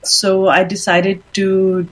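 A middle-aged woman from Pakistan answering interview questions as a guest, speaking in a measured way with frequent ums and restarts. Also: mid-range voice, monotone, a bit bright, rough recording. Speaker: guest, fashion event founder.

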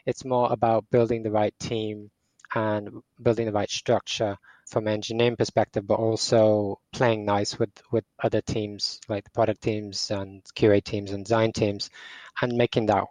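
A man's voice: fairly low pitch, flat delivery, moderate pace, a little mellow, no room sound, poor audio. A man in his forties, raised in Portugal, answering podcast questions as a startup tech lead, hesitant with many restarts. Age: 40s. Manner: hesitant, with frequent restarts and repeated words. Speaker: startup CTO guest. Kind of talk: podcast interview.

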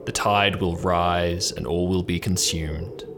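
A man's voice: low-pitched, steady tone, takes it slowly, gravelly, background noise. A man hosting and narrating an improvised audio drama in an informal way. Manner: informal, joking. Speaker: host and narrator.

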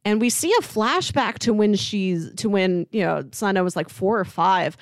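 A woman's voice: medium pitch, moderate pace, dry recording, studio quality. A woman co-hosting a casual recap discussion, retelling scenes conversationally and slipping into quoted dialogue. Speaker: podcast co-host.

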